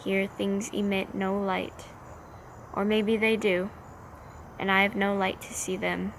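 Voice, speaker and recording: A woman reciting slam poetry in deliberate, measured short phrases. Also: mid-range voice, flat delivery. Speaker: slam poet. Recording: faint background noise.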